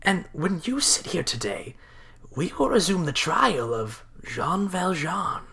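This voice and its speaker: Fawning judge type in a radio play, bright voice, swoopy, very effusive. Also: male voice, high-pitched, big pitch swings, slow pace, gravelly, husky, exaggerated voice. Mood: effusive, flattering. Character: fawning, effusive court official, flattering and formal. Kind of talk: audio drama acting.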